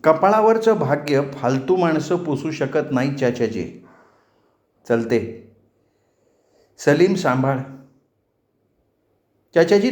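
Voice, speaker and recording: A man voicing a fearless, sharp-tongued young village girl with an indignant, assertive manner in a story. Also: fairly high pitch, roomy sound. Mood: firm.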